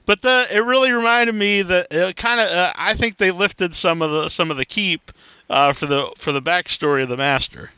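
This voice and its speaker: A man's voice: high voice, normal speed, pushed and bright, clear, resonant tone, dry recording. A man hosting a podcast in a casual, hesitant way, often repeating himself and backtracking. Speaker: podcast host, interviewer. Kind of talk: casual chat.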